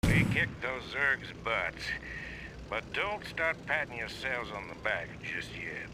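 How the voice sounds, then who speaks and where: A man's voice: medium pitch, animated, slow, very noisy. A man playing a belligerent, pompous older general, barking condescendingly in a video game. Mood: gruff. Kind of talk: video game cutscene acting. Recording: music behind the voice.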